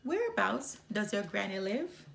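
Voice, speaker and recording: A woman's voice: medium pitch, big pitch swings, measured pace, creaky, a bit bright, a little hiss or hum, full character voice. A woman voicing a sly, hungry male wolf in a children's storybook, coaxing with false politeness. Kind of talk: children's storybook character reading.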